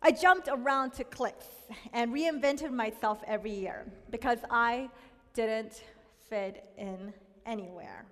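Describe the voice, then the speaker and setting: A high-voiced young woman from South Korea shares a personal testimony. She talks in a candid, reflective way. Her voice carries a long hall reverb. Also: unhurried, fairly bright tone. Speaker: speaker sharing a personal testimony.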